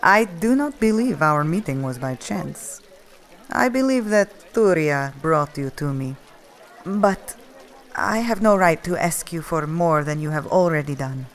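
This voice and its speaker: A man voicing a proud young priestess woman, speaking formally and with measured words. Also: a little high, expressive, fairly bright tone, pitched above the performer's own voice. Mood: earnest. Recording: music bed underneath.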